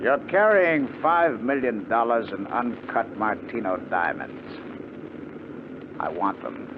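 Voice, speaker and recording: On a muffled, noisy 1950 radio recording, a male gang leader at an ordinary male pitch. He is calm and menacing, giving orders in a deliberate, controlled, commanding way, in vintage radio drama style. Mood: cool, menacing. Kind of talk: 1950s radio drama acting and narration.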